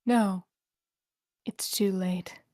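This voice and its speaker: An eerily calm, menacing woman played by a woman in a screenplay read. Her tone is dark and mellow, cold and mocking. The sound is dry and close. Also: mid-range voice, extremely slow, no room sound. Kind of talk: screenplay table read acting.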